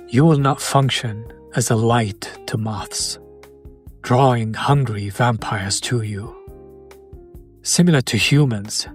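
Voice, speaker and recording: Man playing a slow-spoken, courtly romantic vampire. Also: medium pitch, breathy edge. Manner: formal, archaic wording, measured and flirtatious. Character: courtly, charming old-world male vampire, tender and wistful. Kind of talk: intimate romantic audio roleplay acting.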